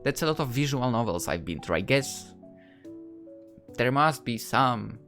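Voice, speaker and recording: A woman with a low, lively voice hosts a let's play. She moves between story narration and amused, rambling asides, with light game music behind her. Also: unhurried. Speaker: gaming video host.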